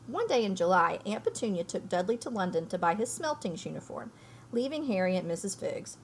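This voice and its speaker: Woman, expressive storybook read-aloud, explanatory, informal, animated pitch, faint background noise. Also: brisk. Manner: explanatory, checks understanding with listeners. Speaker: reader reading a children's book aloud.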